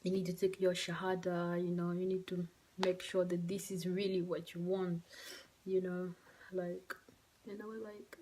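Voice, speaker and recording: A young woman who speaks English as a second language, telling a personal story to camera in a halting, soft, emotional way with many fillers. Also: fairly low pitch, little pitch movement, a little hiss or hum. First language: not English. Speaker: vlogger. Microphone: phone or camera mic.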